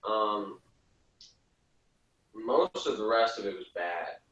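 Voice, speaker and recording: Echoey room, man a bit away from the mic, halting casual review talk, critical. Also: on the low side, fairly bright tone. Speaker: podcast reviewer. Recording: roomy, distant, slightly muffled.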